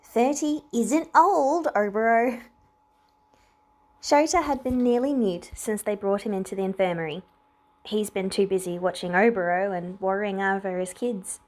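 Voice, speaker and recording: A woman's voice: medium pitch, normal speed, darkish tone. A woman reading scripted fiction aloud as a narrator. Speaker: podfic reader.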